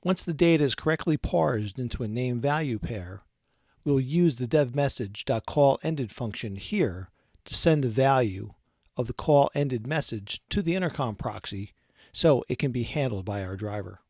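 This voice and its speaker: A man delivers scripted instructional narration in a very dry recording. His mid-pitched voice has a noticeable creak. He explains each step methodically and evenly paced, like a technical trainer. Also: animated, moderate pace, darkish tone, dry recording.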